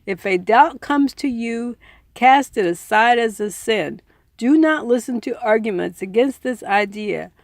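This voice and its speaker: A woman reads aloud in an earnest, informal audiobook style. Her voice sits a little high and often breaks into creak. She takes her time, and the recording is close and dry. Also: expressive, measured pace, dry recording. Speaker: reader and commentator. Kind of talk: audiobook reading with informal asides.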